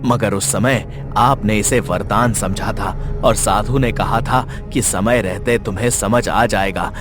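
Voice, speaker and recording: A man playing a grave, respectful royal minister, speaking in a measured, deferential way in an animated folk tale. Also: on the low side, over music. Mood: solemn reminding. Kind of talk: animated moral tale voice acting.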